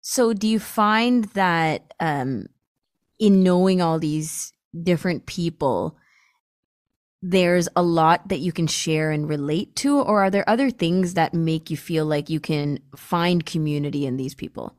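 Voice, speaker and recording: A woman hosting an interview, asking gentle, reflective questions. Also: fairly low pitch, measured pace, dry recording, studio quality. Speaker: guest host. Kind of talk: interview conversation.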